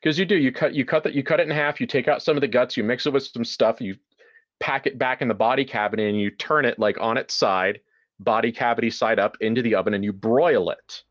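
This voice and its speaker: A man improvising horror narration and casual chat in a tabletop roleplaying game, descriptive and halting as he sets a scene. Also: mid-range voice, fairly even intonation, a bit bright, lo-fi sound. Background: faint music.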